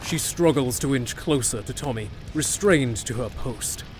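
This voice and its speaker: A man's voice: medium pitch, lively intonation, unhurried. A man narrating a scripted fantasy audio drama as a storyteller. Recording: music behind.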